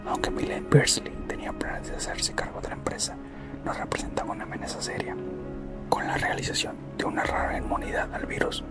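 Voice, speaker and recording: Whispered Spanish-language ASMR narrator over music. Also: fairly quick. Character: corporate recruiter recounting company history. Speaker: ASMR content creator. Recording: close whisper over loud background music.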